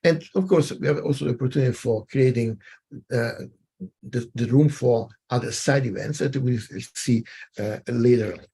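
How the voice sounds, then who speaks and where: Gravelly, hesitant male webinar moderator. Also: mid-range voice, normal speed, dark tone, slight background noise. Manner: hesitant, many fillers and restarts. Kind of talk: webinar presentation.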